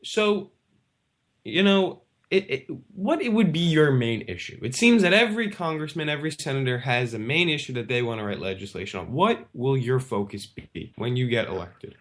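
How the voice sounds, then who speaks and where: A man hosting a radio talk show, chatting casually with his guest. His questions wander and he talks in an easy, everyday voice at a middle pitch. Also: animated, normal speed, fairly bright tone. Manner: loose, conversational, rambling questions. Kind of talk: casual interview chat.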